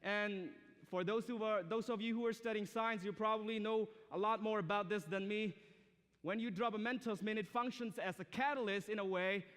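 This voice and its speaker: A man's voice: sits high, fairly even intonation, moderate pace, a bit bright, clear, resonant tone. Young man preaching in a reverberant hall. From Myanmar. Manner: conversational preaching, asks the audience questions. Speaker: student speaker. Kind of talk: sermon. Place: large church or university auditorium. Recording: long hall reverb from a live auditorium.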